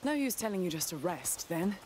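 An actress playing a worldly, caring woman sea trader in a video game drama, with a dry, relaxed and teasing delivery. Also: on the low side, brisk, slight background noise. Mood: resigned.

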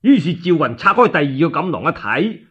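A man's voice: fairly high pitch, big pitch swings, fairly quick, fairly bright tone, slightly rough, lo-fi sound. A man with a Cantonese accent narrating a story dramatically as a solo storyteller.

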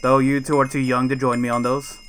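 A man's voice: mid-range voice, level tone, fairly quick, fairly bright tone, natural voice. A man playing a dry, evasive adult guardian in an improvised game roleplay, understated and hesitant. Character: adult male guardian, dry and evasive, minding two teens. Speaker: roleplay actor in a Minecraft series. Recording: music and chimes behind the voice.

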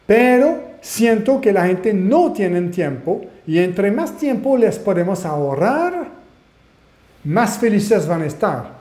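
A man with a French Canadian accent, a native French speaker, giving an animated webinar presentation as an online marketing mentor and asking his audience questions. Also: high-pitched, slow pace, reverberant.

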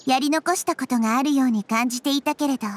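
A woman voicing a small, wise girl goddess in a game, speaking softly and with composure. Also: sits high, quick pace, soft background music, natural voice. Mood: calm. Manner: soft, composed, explanatory. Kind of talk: anime game voice acting.

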